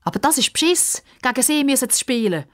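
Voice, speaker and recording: A woman acts a sulky, mocking schoolboy from a children's story. The voice is pushed higher, whiny and bossy, jumping about in pitch. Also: lively intonation, fast talker. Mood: indignant. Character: stout boy footballer, self-important, mocking, sulky.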